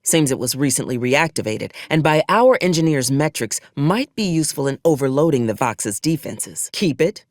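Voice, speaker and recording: A woman's voice: low voice, expressive, normal speed, few pauses, no room sound, studio quality. A woman playing a ruthless, wry military commander in a video game, speaking in a clipped, folksy and old-fashioned soldierly manner with sardonic menace. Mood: brisk, businesslike. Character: ruthless, wry female military officer, cold pragmatic commander. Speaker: acquisitions officer character in a video game. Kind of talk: scripted video game character dialogue.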